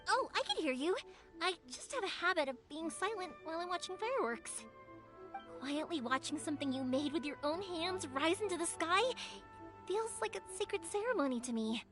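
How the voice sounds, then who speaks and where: A woman playing a cheerful, warm-hearted young firework maker in a video game, chatty and sincere, with a girlish voice. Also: very high voice, animated, moderate pace. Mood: gentle, reflective. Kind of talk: video game character acting. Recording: music behind the voice.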